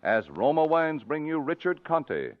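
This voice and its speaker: A man reading scripted sponsor copy as a 1940s radio announcer, with a measured, savouring delivery. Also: fairly high pitch, big pitch swings. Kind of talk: 1940s scripted advertising read. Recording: tinny, narrow vintage radio broadcast.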